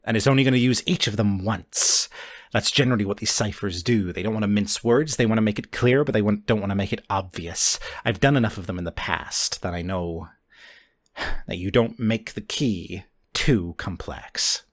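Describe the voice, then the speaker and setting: A man voicing an intense, obsessive four-armed character in a tabletop game, with deliberate, theatrical phrasing. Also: fairly low pitch, lightly acted. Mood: thoughtful, sheepish. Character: intense, obsessive four-armed gamer, exasperated yet sheepish.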